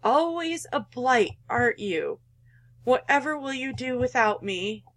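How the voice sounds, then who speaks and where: A woman's voice: sits high, expressive, measured pace, a bit bright, heavily acted. A woman voicing a cold, belittling, controlling mother in a visual novel, with a condescending, guilt-tripping manner. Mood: pitying contempt.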